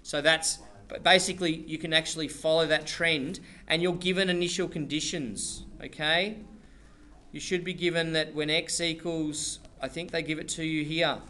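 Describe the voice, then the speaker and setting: Lively, bright-voiced male maths teacher. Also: on the high side, moderate pace, slight background noise. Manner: chatty, playful, rambling restarts. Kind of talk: classroom lesson, joking asides. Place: classroom.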